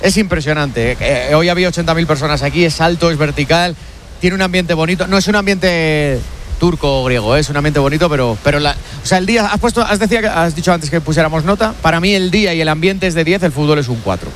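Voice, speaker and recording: A man's voice: a little high, fast talker, slight background noise. A male match commentator speaking from inside a large football stadium, enthusiastically piling up examples.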